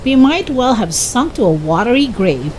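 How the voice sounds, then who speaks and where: A woman reading a children's chapter book aloud as narrator, expressive, dramatic and deliberate. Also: fairly high pitch, slight background noise. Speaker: children's story reader. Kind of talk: expressive scripted storybook narration.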